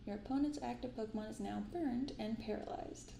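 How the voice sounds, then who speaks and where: Soft-spoken ASMR woman, low-key and mellow. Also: vocal fry, roomy sound, a little hiss or hum. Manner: gentle, unhurried reading of card text. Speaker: host of a card-opening video. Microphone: binaural microphone.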